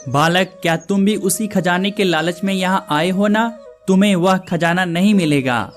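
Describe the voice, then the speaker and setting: A performer voices an old hermit guarding a cave in a cartoon moral tale. The delivery is solemn and formal, stern but kindly, at a medium pitch with music clearly underneath. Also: normal speed, lightly acted. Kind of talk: cartoon moral story dialogue.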